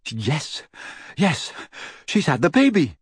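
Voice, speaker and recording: A middle-aged wizard from a storybook, acted out by a male reader, weary yet emphatic. The voice is husky and very animated, with formal, declarative phrasing and a close, dry sound. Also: mid-range voice, unhurried, no room sound. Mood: overjoyed. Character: frightened then overjoyed weary middle-aged male wizard werewolf friend.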